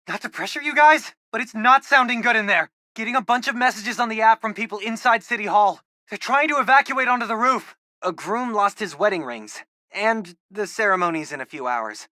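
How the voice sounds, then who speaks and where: Animated, geeky American teenage sidekick. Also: male voice, high voice, no echo, clean studio sound. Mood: worried, urgent. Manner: informal, eager, nerdy tech talk with quips. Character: geeky, excitable teenage boy hacker, loyal superhero sidekick. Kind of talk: video game voice acting, casual radio-style chatter.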